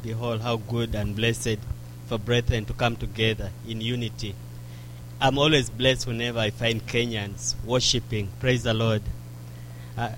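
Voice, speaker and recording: A man's voice: mid-range voice, a bit bright, slight background noise, poor audio. A man greeting a church congregation, earnest and quoting scripture. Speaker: visiting church member.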